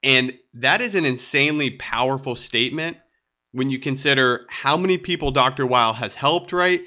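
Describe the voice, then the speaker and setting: A man's voice: mid-range voice, normal speed, sharp tone. A man hosting a solo podcast, sounding nasal and congested, upbeat and chatty with light laughs. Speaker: podcast host.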